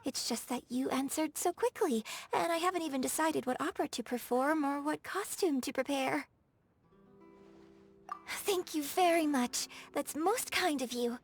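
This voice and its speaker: Polite young opera lady from a video game, highish light voice, very proper and refined, bit of music behind. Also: normal speed. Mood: pleased, eager. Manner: formal, polite, carefully enunciated. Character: refined, courteous young female opera performer. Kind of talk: scripted game character dialogue.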